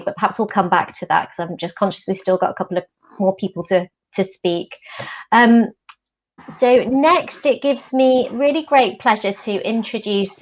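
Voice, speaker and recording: A woman's voice: mid-range voice, lo-fi sound. Chatty woman chairing a panel. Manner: warm, chatty, hesitant with fillers. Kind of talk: panel moderating.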